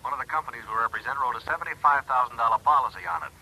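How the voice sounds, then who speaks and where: A man playing a brisk, businesslike insurance adjuster over a telephone in 1950s radio drama. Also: medium pitch, lively intonation, sharp tone, slightly rough, heavily acted. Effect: telephone filter. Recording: noisy old radio, phone-call filter.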